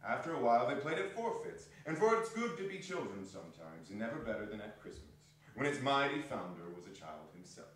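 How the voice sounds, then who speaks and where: A man's voice: medium pitch, big pitch swings, a little fast, few pauses, a little hiss or hum. A man delivering scripted narration in a theatrical reading of a classic story. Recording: echoey hall, distant microphone.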